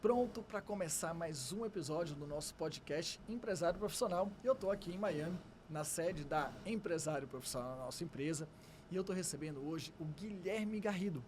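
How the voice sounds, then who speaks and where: A man's voice: on the high side, lively intonation, moderate pace, darkish tone, a little hiss or hum. A man with a Brazilian accent hosting a podcast, introducing and questioning his guest. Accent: Brazilian Portuguese. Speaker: podcast host, business mentor. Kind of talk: podcast interviewing.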